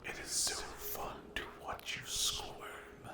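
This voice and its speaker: A man playing a menacing, hungry sleep paralysis demon in a whispered ASMR roleplay, breathy and deliberate, taunting the listener. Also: roomy sound, lightly acted. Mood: hungry, savoring. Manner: very slow, deliberate, menacing, with long pauses.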